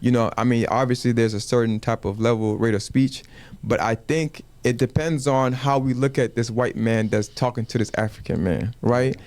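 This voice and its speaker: A man whose first language is Wolof talks through his point in a measured way. He keeps asking rhetorical questions and checking in with the others. The sound is dry and close, with little room echo. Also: mid-range voice, fairly even intonation, a little mellow. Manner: measured, asks rhetorical questions, says "you know what I'm saying". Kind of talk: casual panel discussion. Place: community media studio.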